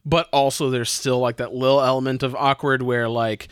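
A man's voice: medium pitch, flat delivery, moderate pace, smooth, dry recording, clean studio sound. A man from Tennessee chatting casually as a player in a tabletop role-playing game. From Tennessee, USA.

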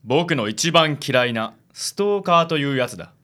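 A man playing a suave, theatrical gentleman with pompous, chivalrous, flowery speech in a comic radio drama. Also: on the high side, animated, normal speed. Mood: dramatic concern. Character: suave, theatrical gallant man who fusses over ladies.

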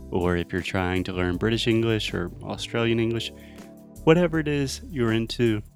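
A man's voice: lowish voice, vocal fry. An American man hosting a casual English-learning podcast, talking straight to listeners in informal, emphatic, simply explained phrases. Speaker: podcast host.

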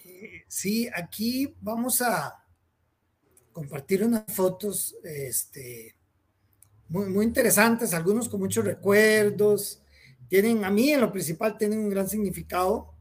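Male TV host, halting delivery, friendly interview questions. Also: high-pitched, expressive, slightly rough. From Costa Rica. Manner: halting, searches for words. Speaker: show host, producer. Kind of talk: TV talk show hosting.

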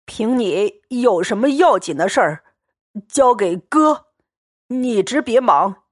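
A woman voicing a drunk, boisterous young nobleman who fawns loudly and slurs. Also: on the high side, lively intonation, takes it slowly, no room sound, clean studio sound. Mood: drunk, fawning. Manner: loud, fawning and slurring.

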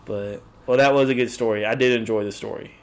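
A man's voice: on the low side, steady tone, quick pace. Dry, teasing young man on a podcast. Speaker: podcast co-host. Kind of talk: casual podcast chat.

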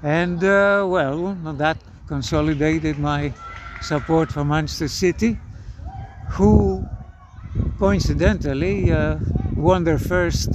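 Older Greek-born man, measured storyteller. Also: fairly high pitch, background noise. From Greece. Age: 70s. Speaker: podcast guest. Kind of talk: casual conversation.

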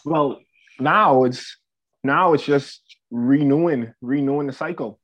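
A man's voice: medium pitch, takes it slowly, a bit bright. A man in his twenties from the Bahamas talking casually as a podcast guest, hesitant and repeating phrases as he thinks. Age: 20s. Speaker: podcast guest, student athlete and entrepreneur. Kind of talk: casual interview talk. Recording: dull lo-fi audio.

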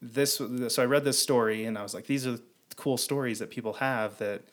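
A man's voice: mid-range voice, brisk. A man hosting a podcast, rambling with many fillers as he introduces his first guest. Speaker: podcast host. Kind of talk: casual interview conversation.

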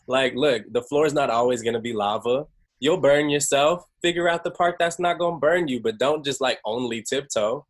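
A man's voice: mid-range voice, moderate pace. A young man hosting a group call, talking casually and acting out quoted voices with laughs. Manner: animated, acts out quotes, laughs. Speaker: host.

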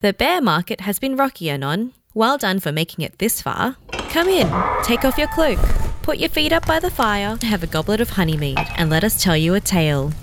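A woman's voice: medium pitch, animated, moderate pace, background noise. A woman reading a scripted introduction and asides as a narrator, in a playful manner. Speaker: narrator and announcer.

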